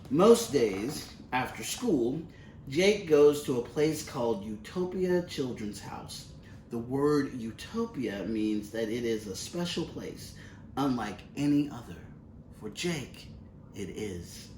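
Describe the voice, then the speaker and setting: Slow-reading man in a roomy space. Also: mid-range voice, lively intonation, darkish tone. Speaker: picture book reader. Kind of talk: expressive read-aloud of a children's storybook. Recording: roomy, distant mic with faint noise.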